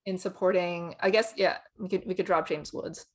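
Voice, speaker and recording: A woman's voice: fairly low pitch, steady tone, moderate pace. A woman co-hosting a casual chat about film awards in an informal, conversational way. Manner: laughs often while talking. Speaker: awards podcast co-host and pundit.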